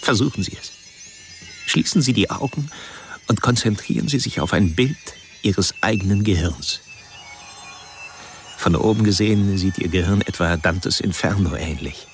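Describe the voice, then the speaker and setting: Radio thriller killer: cerebral, cold, measured monologue, very deep creaky voice, music underneath. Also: male voice, expressive. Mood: hypnotic, lecturing. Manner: measured, deliberate, lecturing. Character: cold, cerebral male killer narrating his obsessions. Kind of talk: radio crime drama monologue.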